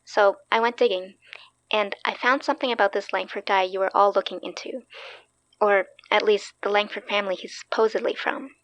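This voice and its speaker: A woman's voice: mid-range voice, fairly even intonation, fairly quick, fairly bright tone, lo-fi sound, close to an everyday voice. A woman playing a keen, wry young researcher in a horror audio drama, explaining things casually. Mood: eager, wry.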